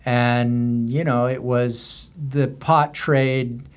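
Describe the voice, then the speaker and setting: A man's voice: medium pitch, little pitch movement, extremely slow, clear, resonant tone. An American man speaks as a podcast guest in an unscripted, conversational way. He unfolds anecdotes loosely, circling through examples and details as he explains. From US border region. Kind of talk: casual anecdotal storytelling.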